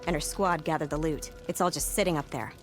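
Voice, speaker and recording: A woman's voice: deep voice, fast talker, over music, close to an everyday voice. A woman playing an eager young soldier. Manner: eager, boastful.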